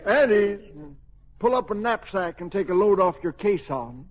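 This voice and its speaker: High-voiced eccentric old general. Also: male voice, lively intonation, moderate pace. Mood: blustery. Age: older. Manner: folksy, blustery, hesitant rambling old-timer. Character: eccentric old retired general, boastful, lonesome war veteran. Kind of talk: 1940s radio comedy acting. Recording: dull vintage radio recording, audience, hiss.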